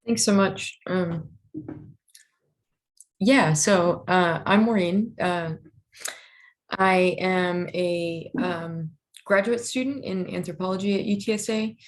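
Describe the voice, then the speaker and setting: A young American woman from Texas presenting informally at an online webinar as a graduate student, thoughtful and hesitant with many pauses. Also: lowish voice. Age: young adult.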